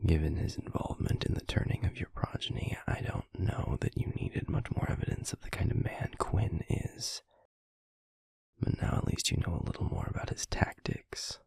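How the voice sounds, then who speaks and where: Give this as a weary, protective werewolf alpha performed by a man in an audio drama. The voice is breathy and half-whispered, recorded close and dry. He speaks formally and deliberately, with a lively rise and fall. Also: dry recording, exaggerated voice. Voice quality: gravelly, husky, breathy low voice. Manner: measured, deliberate, formal, hushed. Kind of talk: audio roleplay drama acting.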